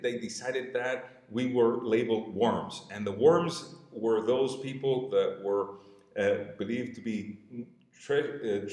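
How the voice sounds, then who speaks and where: A man in his sixties from Cuba with a Cuban Spanish accent, a business coach telling a personal story in an earnest way, slowing and softening at emotional moments. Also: on the low side. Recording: roomy echo.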